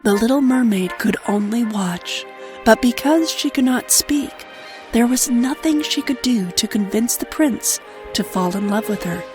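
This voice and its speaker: A woman narrates a children's fairy tale from a script. Her voice sits a little high, and music plays clearly beneath it. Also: expressive, measured pace, rough recording.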